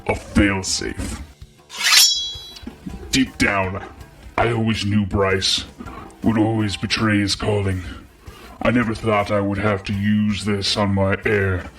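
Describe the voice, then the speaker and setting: Grave warlord villain, ominous, very slow flat delivery, music underneath. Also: male voice, fairly low pitch. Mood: brooding, ominous. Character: menacing, solemn villain father, a warlord master. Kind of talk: scripted audio drama acting.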